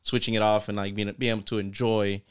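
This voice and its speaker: A man co-hosting a podcast, chatting quickly and affirming his guest often. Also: fairly low pitch, flat delivery, clear, resonant tone. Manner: quick, affirming, many backchannels. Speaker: podcast co-host. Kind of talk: casual podcast chat.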